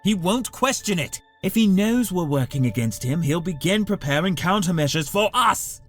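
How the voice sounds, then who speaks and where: A man's voice: high voice, lively intonation, normal speed, a bit bright, roomy sound, soft background music. A man playing a proud, scheming villain scientist with pompous, theatrical speech in a cartoon comic dub. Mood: commanding. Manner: pompous, elaborate articulate speech.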